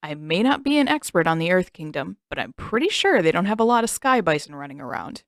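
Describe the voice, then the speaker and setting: A sarcastic teenage boy who grumbles and scoffs at everything, as a woman reading a storybook puts him on. He talks in a flat, dry, mocking way, in a slightly lower register than the narrator. The recording is close and dry. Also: quick pace, dry recording.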